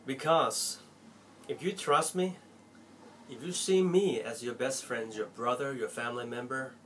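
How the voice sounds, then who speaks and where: A man's voice: mid-range voice, unhurried, slight background noise. A young Thai man with a Thai accent, an Apple store salesperson speaking earnestly and pleadingly to camera from a small bedroom. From Thailand. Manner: heartfelt, pleading, expressive swings of loudness. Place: small cluttered bedroom indoors.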